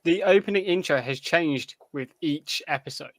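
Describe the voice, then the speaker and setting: A man co-hosting a podcast in casual review chat, speaking haltingly and repeating his phrases. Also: a little high, fairly bright tone, dry recording. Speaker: podcast co-host.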